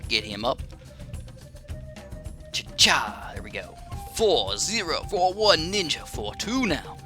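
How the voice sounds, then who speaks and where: A man's voice: fairly high pitch, big pitch swings, takes it slowly, pushed and bright. A man giving casual, rambling gameplay commentary, chatty and full of fillers and restarts. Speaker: gaming channel host. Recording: game music playing under the voice.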